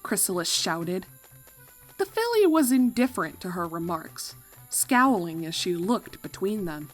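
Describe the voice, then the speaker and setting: A woman's voice: on the low side, very animated, unhurried, soft background music, rough recording. A woman reading a fan fiction story as a scripted narration.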